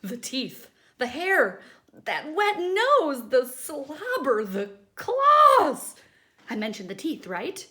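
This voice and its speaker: A woman's voice: high-pitched, wildly swooping pitch, takes it slowly, bright, forceful tone, heavily acted. A woman voicing a demanding, whiny pigeon given to theatrical outbursts in a children's storybook. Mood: alarmed. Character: demanding, dramatic, tantrum-prone cartoon pigeon. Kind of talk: children's storybook read-aloud.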